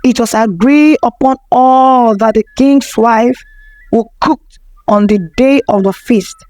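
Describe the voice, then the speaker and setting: Female storyteller for scripted folktale narration: very unhurried pace, dry studio-like sound, faint music underneath. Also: fairly high pitch, a little mellow, no echo, rough recording.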